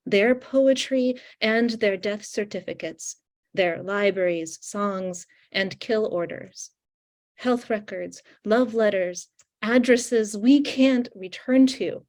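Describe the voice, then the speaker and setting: Slow, deliberate woman reading poems. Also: mid-range voice, darkish tone. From Ukraine. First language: Russian. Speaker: poet. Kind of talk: poetry reading. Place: home on a video call. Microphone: video call microphone.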